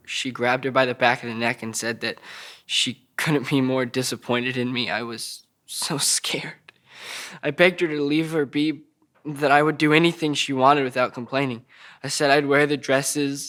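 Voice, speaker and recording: An actor playing a troubled, childlike young man recounting abuse in a halting, stammering way at a table read of an audio drama. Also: medium pitch, steady tone, a bit bright, lightly acted. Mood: desperate, haunted.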